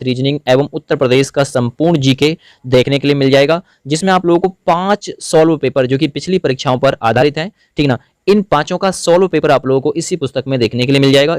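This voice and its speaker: Fast-talking man promoting a product. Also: fairly high pitch, a little mellow, no room sound. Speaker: book promoter. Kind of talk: promotional presenter pitch.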